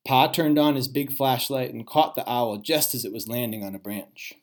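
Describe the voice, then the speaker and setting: A man, a teacher, reading a children's storybook aloud in an expressive way with dramatic pauses. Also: mid-range voice.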